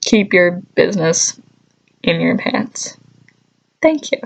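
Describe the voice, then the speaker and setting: A woman's voice: lowish voice, animated, slow, gravelly, poor audio. A woman vlogger telling stories casually, with a joking, mock-scolding manner and dry asides. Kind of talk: casual comedic storytelling to camera.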